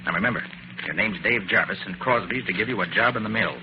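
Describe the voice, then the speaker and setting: Male actor as cool radio detective, brisk self-assured delivery, rapid pace, dull noisy vintage recording. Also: on the low side, close to an everyday voice. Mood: commanding. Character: confident, unflappable male master detective. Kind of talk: old-time radio drama acting.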